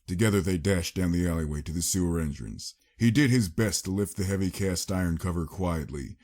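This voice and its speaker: A man's voice: low voice, fairly quick, darkish tone. A man giving a dramatic scripted narration of a story.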